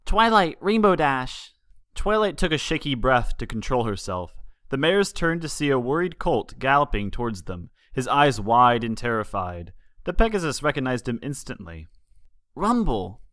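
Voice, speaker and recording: A man's voice: mid-range voice, sing-song, normal speed, fairly bright tone, dry recording, clean studio sound. A man reading a story aloud as scripted narration with dramatic delivery. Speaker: fan fiction reader.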